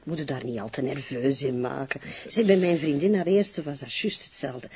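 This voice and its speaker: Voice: mid-range voice, moderate pace, darkish tone, dull audio, lightly acted. An actor playing a chatty, familiar junior police colleague with a Flemish accent in a TV drama. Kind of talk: naturalistic TV drama dialogue.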